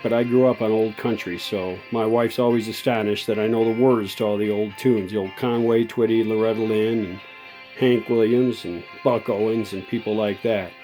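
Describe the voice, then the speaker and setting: A man's voice: mid-range voice, darkish tone. A man giving a casual, unscripted repair walkthrough in a folksy way, thinking aloud and correcting himself as he goes. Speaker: radio repair hobbyist and video host.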